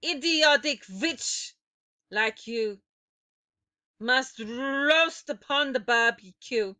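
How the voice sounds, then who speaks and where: A woman plays a tyrannical witch ruler from a children's storybook, furious and menacing. The voice is high and piercing, stretching out each word with imperious, shrieking emphasis. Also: drawn-out words, sharp tone, exaggerated voice. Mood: menacing chant. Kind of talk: dramatic storybook character reading.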